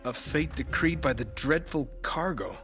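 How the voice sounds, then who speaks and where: Wry american adventurer dude, treasure hunter type, video game cutscene, music under it. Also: male voice, a little high, expressive, measured pace, a bit bright. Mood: curious, absorbed. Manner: casual, wry. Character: wisecracking, eager male treasure-hunting adventurer.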